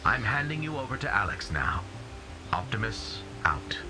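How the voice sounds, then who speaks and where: A giant heroic robot leader in a game cutscene. The voice is very deep, with big swings in pitch, grave and formally measured. Clear music plays behind it. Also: male voice, big pitch swings. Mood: commanding, grave. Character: noble, solemn giant male robot commander. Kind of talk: scripted video game cutscene acting.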